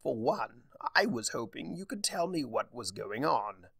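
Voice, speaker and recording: A man voicing a theatrical, sardonic, mischievous male chaos spirit with a wry, drawn-out delivery in a fan fiction reading. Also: medium pitch, sing-song, creaky, fairly bright tone, breathy edge, dry recording, studio quality, exaggerated voice. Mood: smug, amused.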